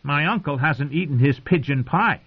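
A man's voice: on the high side, moderate pace, husky, highs cut off, voice pushed up. A man voicing a cruel, whiny teenage boy king who talks in a petulant, commanding way. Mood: taunting. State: choking and coughing.

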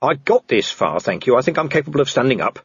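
A man's voice: mid-range voice, big pitch swings, quick pace, slightly rough, no echo, highs cut off, full character voice. A man voicing a sardonic, exhausted older police commander with an irritable, growling manner, in an audiobook. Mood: irritable, sarcastic. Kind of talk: audiobook character acting.

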